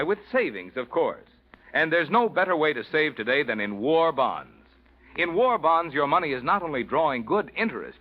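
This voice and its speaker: A man reading a scripted appeal as a 1940s radio announcer, earnest and emphatic. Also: fairly high pitch. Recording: muffled old radio recording.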